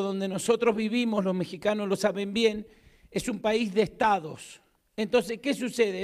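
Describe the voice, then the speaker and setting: A middle-aged man with an Argentine accent, preaching a sermon from a church pulpit into a handheld mic, swinging from loud calls for amens to quiet asides. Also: high voice. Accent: Argentine Spanish. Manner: emphatic preaching, rising loud to call for amens and dropping to a hush. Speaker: pastor. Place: church hall with a stage. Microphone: handheld mic at a pulpit, far camera.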